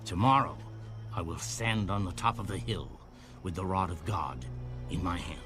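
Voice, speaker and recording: A man's voice: low-pitched, moderate pace, fairly bright tone, faint music behind. A man playing a burdened prophet and leader in a dramatized audio Bible, weighty and emotive. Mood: grave, resolute. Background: musical score.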